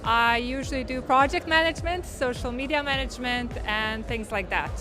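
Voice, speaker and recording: A woman from Serbia answers interview questions at an event, calm and plain-spoken, her voice fairly high. Loud music plays behind her. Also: moderate pace. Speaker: event guest. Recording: music playing loudly behind.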